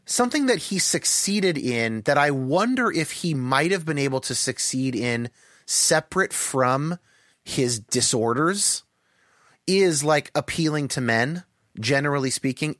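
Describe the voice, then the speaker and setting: A man with a somewhat higher-pitched voice, talking thoughtfully in a podcast conversation and hedging with lots of "like" fillers. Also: fairly bright tone, no room sound, studio quality. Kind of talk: casual conversation.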